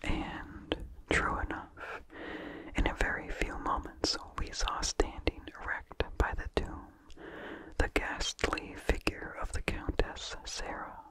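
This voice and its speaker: An ASMR reader delivers a vintage horror tale entirely in a breathy whisper, with no voiced tone at all. The pace is very slow and deliberate, with pauses between short phrases. Soft mouth sounds come through between the words. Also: rough recording. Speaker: story reader.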